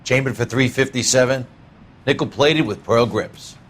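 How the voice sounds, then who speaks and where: Mid-pitched, proud gun dealer played by a man. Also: normal speed, fairly bright tone, close to an everyday voice. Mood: proud, matter-of-fact. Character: knowledgeable, proud, obliging male gun shop owner. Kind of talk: naturalistic video game crime drama acting.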